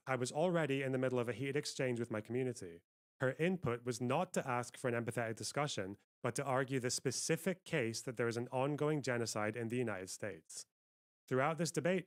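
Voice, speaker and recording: A man giving argumentative commentary, articulate and insistent as he makes his case. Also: mid-range voice. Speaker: video commentator and debater.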